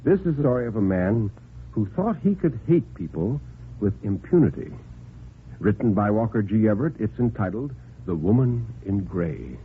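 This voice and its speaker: A man's voice: fairly low pitch, animated, moderate pace, a little hiss or hum. Male 1950s radio storyteller, band-limited vintage sound. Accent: American. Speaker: narrator of a radio horror play, performing every part. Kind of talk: 1950s radio drama storytelling, scripted narration. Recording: vintage radio recording, phone-like band, music behind.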